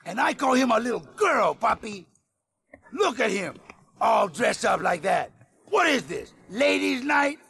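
An actor playing a hot-headed, macho young Cuban gang boss in a video game, with a strained raspy voice, a Cuban accent and blustering talk sprinkled with Spanish. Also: male voice, very high voice, big pitch swings, measured pace, bright, forceful tone, raised voice, exaggerated voice. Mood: aggressive, taunting. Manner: blustering, boastful, peppers English with Spanish. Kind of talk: video game cutscene acting.

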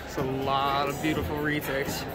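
A man's voice: mid-range voice, monotone, moderate pace. A man giving casual walk-around vlog commentary, slangy and easily amazed, in short exclamations. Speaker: vlogger. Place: large indoor public expo hall. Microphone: handheld camera or phone. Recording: busy expo hall, music and crowd. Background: loud crowd chatter and music.

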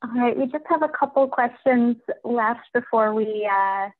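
A woman's voice: fairly high pitch, a little slow, no room sound, phone-quality sound. A woman moderating a panel, putting questions conversationally over a thin-sounding video call. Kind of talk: reading out audience questions conversationally. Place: indoor room on a video call.

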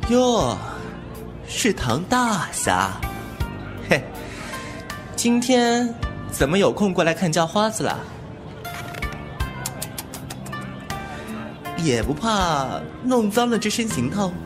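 Give the beggar clan's wilful young heir a high male voice, acted by a man. He is flippant and defiant, with very animated delivery. Music plays behind this martial-arts radio play. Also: slow pace, full character voice. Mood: sarcastic. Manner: teasing, defiant. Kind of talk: Chinese wuxia audio drama acting.

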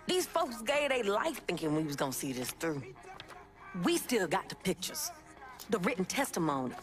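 A woman's voice: medium pitch, very animated, normal speed, over music, lightly acted. An actress playing a tough, defiant young revolutionary woman with a Southern US accent, blunt and streetwise, in a video game. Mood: determined. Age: young adult. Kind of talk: video game cutscene acting.

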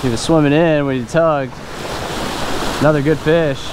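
A man's voice: fairly high pitch, moderate pace. Man narrating his fishing over surf. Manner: excited, talking to himself between casts. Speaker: fishing vlogger. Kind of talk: casual self-narration while fishing. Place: open beach at the surf line, outdoors. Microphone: wearable action camera. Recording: outdoor wind and surf noise. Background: surf and waves.

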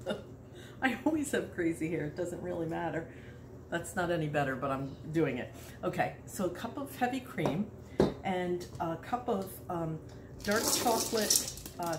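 A woman in her sixties hosting a casual home cooking demonstration in her kitchen, chatty and rambling, laughing often, recorded on a nearby phone. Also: on the low side. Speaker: home cooking video host. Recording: echoey kitchen with background noise.

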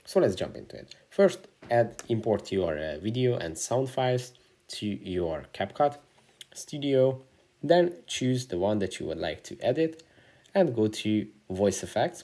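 A man's voice: lowish voice, warm tone. A man narrating a how-to tutorial as the host, in a scripted instructional style.

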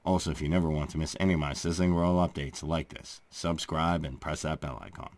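A man's voice: extremely low pitch, brisk, darkish tone. A man hosting a news channel, reading a script in an emphatic, chatty presenter's delivery on a close microphone. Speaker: host of a royal news channel. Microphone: close voice-over microphone.

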